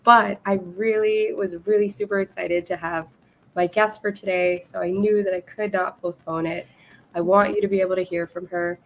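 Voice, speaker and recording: A woman hosting a podcast interview, a little hoarse and losing her voice, chatting eagerly with her guest. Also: mid-range voice, fairly even intonation, normal speed, rough recording. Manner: enthusiastic, reads guest bio aloud. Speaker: podcast host. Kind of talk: casual interview.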